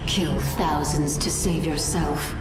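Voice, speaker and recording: A woman's voice: low voice, steady tone, slow. A captive alien scientist, proud and anguished, accuses her captor in formal words. The voice is echoey and a little far off, over game cinematic music. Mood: defiant. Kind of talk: video game cinematic acting. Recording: echoey, distant from mic.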